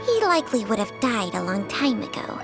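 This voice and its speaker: A woman voicing a soft-spoken young girl, a doctor's earnest helper in a video game, with a gentle manner. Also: fairly high pitch, big pitch swings, fairly quick. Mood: earnest, concerned. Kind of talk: scripted video game voice acting. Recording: music behind the voice. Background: background music.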